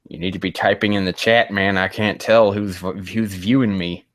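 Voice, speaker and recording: Low-voiced man rambling on a livestream. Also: steady tone, no echo, studio quality. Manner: rambling, halting, muttering to himself; casually crude, swearing. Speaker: livestream host. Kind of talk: casual livestream chat.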